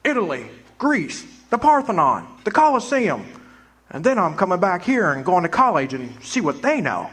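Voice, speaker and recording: A man's voice: sits high, sing-song, normal speed, a bit bright, slightly rough, full character voice. A man playing an eager, dreamy young man. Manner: eager, rambling, boyish. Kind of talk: live amateur radio-play acting.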